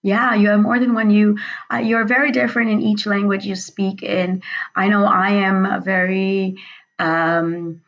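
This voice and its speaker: A woman's voice: medium pitch, little pitch movement, unhurried, creaky. Woman whose first language is Urdu, reflective interview guest, thirties, slightly lo-fi sound. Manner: reflective, speaks in long flowing sentences. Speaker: author guest. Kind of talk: conversational interview.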